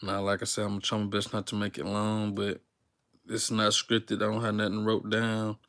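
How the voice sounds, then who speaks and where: Low, flat-voiced young man rambling. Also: normal speed. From Texas. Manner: rambling, relaxed slang-filled talk with many fillers and restarts. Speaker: YouTuber. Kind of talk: unscripted casual talk to viewers, live stream.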